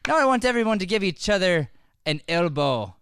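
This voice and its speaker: A man's voice: high-pitched, big pitch swings, a little fast, a bit bright, slight background noise. A man hosting a live improv show, chatting informally and encouraging the audience. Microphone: podcast microphone.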